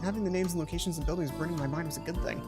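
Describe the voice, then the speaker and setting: A man's voice: on the high side, racing. A young man hosting a let's play, reading a visual novel aloud and adding casual commentary. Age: young adult. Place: small indoor home room. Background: game music.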